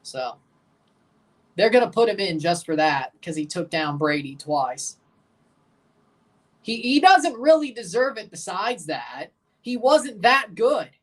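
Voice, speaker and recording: A young man with a low voice hosting a livestream, giving blunt, opinionated hot takes while reacting to a soccer match and answering chat questions. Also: recorded from a distance. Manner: excitable, jumps high in pitch when agitated.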